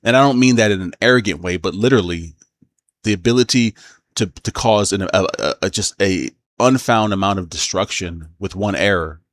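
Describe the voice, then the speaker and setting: Low-voiced man giving halting casual commentary. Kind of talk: casual opinion commentary on news.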